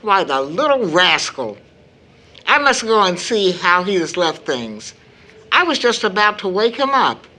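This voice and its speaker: A woman's voice: mid-range voice, very animated, a little slow, sharp tone. A woman playing a kindly, fussing older mother with a homely, affectionate way of talking in an audio drama. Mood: cheerful, surprised. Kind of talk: stage play audio drama acting.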